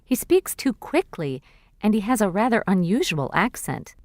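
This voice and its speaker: An actress playing a cheerful young female university student in a scripted textbook dialogue, speaking clearly and expressively. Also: mid-range voice, very animated, normal speed, darkish tone, no echo, studio quality. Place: recording studio.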